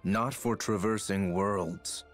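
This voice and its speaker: An actor playing a cold, menacing young man who lectures slowly and condescendingly. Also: on the low side, steady tone, music in the background. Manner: slow, condescending warnings.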